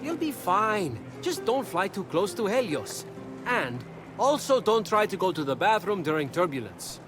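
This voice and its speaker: An actor playing a glib, wry and playful trickster messenger god in an animated video game. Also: male voice, high-pitched, normal speed, over music. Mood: flippant, teasing. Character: quick-witted male trickster messenger god, flippant and playful.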